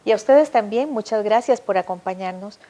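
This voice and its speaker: Mellow-voiced woman hosting. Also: medium pitch, fairly quick. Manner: polite, formal, guiding. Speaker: host. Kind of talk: program host interview.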